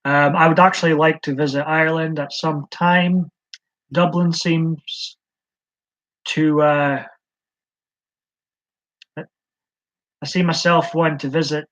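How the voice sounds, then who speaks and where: A man's voice: on the high side, fairly bright tone. A man from Scotland hosting a live stream, chatting in a rambling, hesitant way with many ums. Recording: slightly dull lo-fi stream audio.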